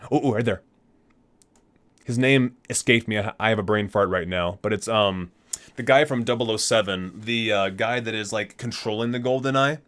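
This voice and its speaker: A man's voice: lowish voice, normal speed, fairly bright tone. A man hosting an ASMR video, reading aloud from a guidebook with theatrical emphasis on titles and casual muttered asides.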